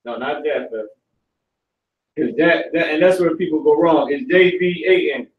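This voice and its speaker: A man's voice: on the high side, normal speed, highs cut off, a little hiss or hum. A young man leading a live class, talking in loose, profane street speech and pressing his students with questions. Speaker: class teacher. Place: classroom with stage and banners, indoors. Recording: echoey room, voice from a distance.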